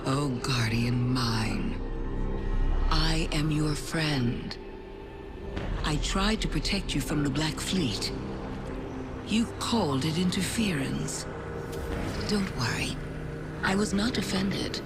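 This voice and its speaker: A woman playing an ancient, cunning alien witch god in a video game, silky, theatrical and manipulative, in a measured persuasive delivery. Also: low-pitched, lively intonation, exaggerated voice. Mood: smug, seductive. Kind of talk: video game villain monologue. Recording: loud music behind the voice. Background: loud music.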